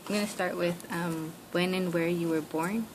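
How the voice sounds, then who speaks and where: A woman's voice: fairly low pitch, fairly even intonation, normal speed. A young woman interviewing for an oral history project, asking hesitant questions full of fillers and "like". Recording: noisy recording with room hiss.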